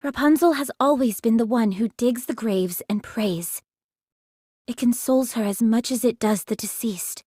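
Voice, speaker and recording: An actress voicing a compassionate, level-headed young woman soldier in a video game, soft and breathy, protective of others. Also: a little high, moderate pace, dry recording, studio quality. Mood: calm, reproving. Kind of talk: dramatic game voice acting.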